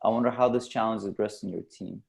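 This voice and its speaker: A man's voice: fairly low pitch, steady tone, fast talker, gravelly. A man moderating a conference session, reading out audience questions with hesitant fillers.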